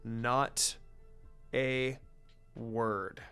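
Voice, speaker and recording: A man's voice: mid-range voice, steady tone, extremely slow, bright, forceful tone, smooth, faint music behind, voice pushed down. A man voicing a proud, stubborn, surly boy ninja with a curt, dismissive manner. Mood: embarrassed muttering.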